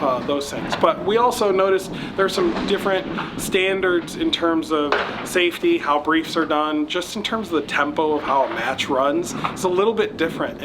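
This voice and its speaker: Earnest American man, founder type, filler-heavy answers, a bit high-pitched, noise behind him. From United States. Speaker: match founder and president. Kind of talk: casual interview answers.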